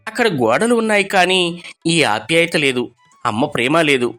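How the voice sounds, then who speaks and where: A man voices an affectionate younger brother in a story, humbled and earnest. His emotional delivery moves widely in pitch on a highish male voice, over faint music. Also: animated, normal speed, pushed and bright. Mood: remorseful.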